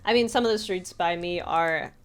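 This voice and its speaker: Woman chatting casually, slightly low voice. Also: normal speed, smooth. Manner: chatty, recounts things in quoted dialogue. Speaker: podcast co-host. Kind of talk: casual podcast chat.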